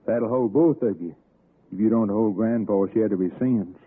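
A man's voice: fairly low pitch, normal speed, breathy edge, phone-quality sound. An actor playing a stern, threatening mountain man with an Appalachian accent in an old radio suspense drama. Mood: menacing. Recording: thin 1960s radio with music.

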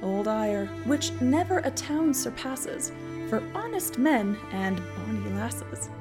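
A woman host tells stories and reads verse in a measured, scripted way. Her voice sits a little high, and music runs clearly behind her. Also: very animated. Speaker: podcast host. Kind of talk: scripted narration and poetry reading.

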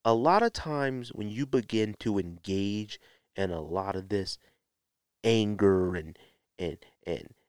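A man's voice: lowish voice, takes it slowly, a little mellow, clear, resonant tone, dry recording, clean studio sound. A man hosting a solo podcast, talking in a blunt, exasperated way with emphatic rhetorical questions, like an opinionated rant. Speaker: podcast host.

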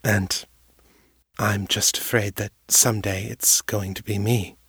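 A man voicing a gentle, devoted undead woman who tenderly calls her friend darling. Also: fairly low pitch, level tone, a little slow, slightly rough, no room sound, clean studio sound, exaggerated voice. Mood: worried. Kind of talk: audiobook character voice.

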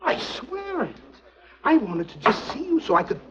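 An actor playing a jovial, slippery, greedy European go-between who sells secrets in a 1950s television spy drama, with formal phrasing. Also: male voice, extremely high pitch, very animated, normal speed, background noise. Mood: protesting, evasive. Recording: dull 1950s TV film soundtrack.

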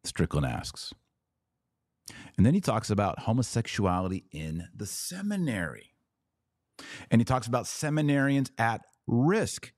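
A man hosting a podcast, giving informal, emphatic commentary with deliberate repetition. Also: medium pitch, big pitch swings, no echo, studio quality. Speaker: podcast host. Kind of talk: informal commentary on a played clip.

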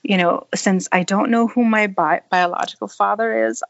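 A woman who grew up in Thailand, telling her life story in an unhurried, thoughtful interview, with small laughs. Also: mid-range voice, fairly even intonation, gravelly, no room sound, dull audio. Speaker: podcast guest. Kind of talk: conversational interview.

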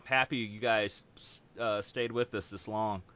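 A man's voice: fairly low pitch, a little slow, lo-fi sound. A man hosting a podcast in casual chat, rambling with lots of fillers. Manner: rambling, relaxed, many fillers. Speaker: podcast host.

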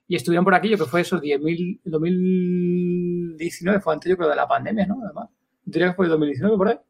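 A man's voice: high voice, fast, creaky, breathy edge, poor audio. A man with an accent from Spain hosting a casual chat, speaking colloquially and often repeating words for emphasis. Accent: Spanish from Spain. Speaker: podcast host.

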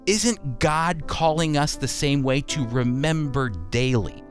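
A man's voice: on the high side, animated, slow pace, soft background music. A man giving earnest, emphatic Bible teaching in the style of a sermon, using rhetorical questions. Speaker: Bible teacher and preacher on a religious programme.

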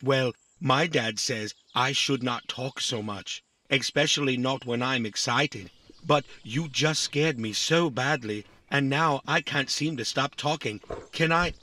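An actor playing a naive, chatty, easily offended little robot in a children's audio drama, rambling excitably. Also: male voice, medium pitch, measured pace. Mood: rambling, excited. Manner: rambling, excitable, adds tag questions.

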